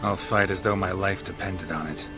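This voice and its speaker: An actor playing a gruff, terse monster hunter in a video game, gravelly and deadpan. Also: male voice, deep voice, normal speed, natural voice. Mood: terse, dry. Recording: music behind the voice. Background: game music.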